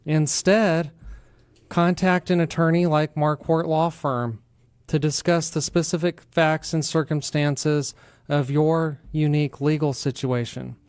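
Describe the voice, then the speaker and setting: Clear-voiced American male radio host. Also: fairly high pitch, steady tone, measured pace, a little mellow, dry recording, studio quality. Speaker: radio show host, attorney. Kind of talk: talk radio hosting, explanatory.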